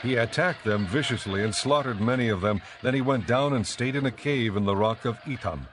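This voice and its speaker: A man narrating scripture for a dramatized audiobook in a measured, solemn, scripted style. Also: medium pitch, a bit bright, a little hiss or hum.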